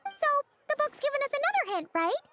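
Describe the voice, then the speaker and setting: A woman voicing a tiny, chirpy, childlike fairy companion in a video game, confident and peppy with a squeaky delivery. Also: very animated, brisk, very noisy. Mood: curious. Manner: peppy, emphatic. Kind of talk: video game character voice acting.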